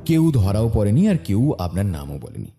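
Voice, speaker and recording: Man playing a low-voiced, animated detective. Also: moderate pace, soft background music. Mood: calming, probing. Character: shrewd, confident male detective. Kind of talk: audio story acting.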